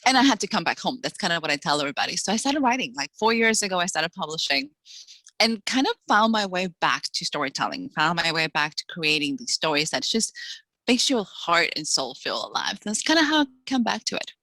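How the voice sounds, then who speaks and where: Chatty dominican-born woman in her 40s, bright tense voice, fast talker, dry close sound. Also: on the low side, very animated, no room sound, low-quality recording. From Dominican Republic. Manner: quick, chatty, laughs often. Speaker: guest author. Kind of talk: casual interview chat.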